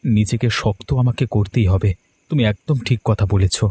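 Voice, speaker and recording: A man's voice: on the low side, normal speed, warm tone, slightly rough. A man voicing an earnest, troubled young husband in a cartoon story. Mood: resolute. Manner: downcast, earnest. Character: dejected young husband who has lost his job. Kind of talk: Bengali cartoon story dialogue.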